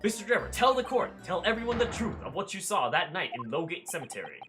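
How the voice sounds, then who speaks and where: Earnest game defense lawyer, woman acting, low-voiced, formal, accusing, very animated, music behind. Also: quick pace. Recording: music under the voice.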